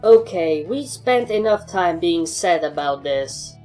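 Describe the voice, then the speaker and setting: Woman acting a bossy, animated pony adventurer. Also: lowish voice, slow, faint music behind. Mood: firm, resolved. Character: assertive, sarcastic female pony adventurer named Aether.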